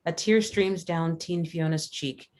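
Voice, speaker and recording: Low-pitched woman reading screenplay directions. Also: unhurried, darkish tone. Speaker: reader of stage directions. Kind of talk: scripted screenplay narration.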